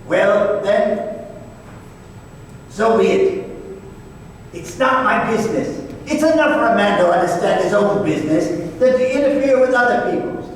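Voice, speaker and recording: Cold old miser, played by a man, high unhurried voice, radio-play style, heard from afar in an echoing hall. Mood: cold, dismissive. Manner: curt, grudging, dismissive. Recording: distant echoey hall, noticeable hiss.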